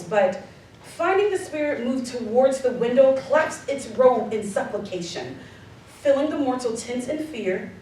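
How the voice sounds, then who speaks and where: High-voiced, echoing woman narrator. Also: a little mellow. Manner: theatrical, emphatic, deliberate word stress. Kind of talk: dramatic scripted story narration. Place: live community theatre stage. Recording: distant mic, very echoey live hall, slight noise.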